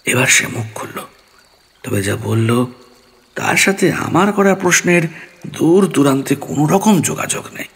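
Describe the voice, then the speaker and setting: A man's voice: fairly high pitch, expressive, normal speed, vocal fry, a bit bright, husky. A man narrating a ghost story in the first person, dramatically, acting out his own dialogue.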